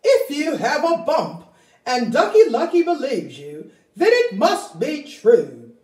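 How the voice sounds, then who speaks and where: A woman's voice: high-pitched, wildly swooping pitch, slow, darkish tone, voice pushed up, heavily acted. A woman voicing a male turkey who questions his friends before reasoning his way into agreement. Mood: doubtful. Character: doubtful male turkey, easily persuaded. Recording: echoey room.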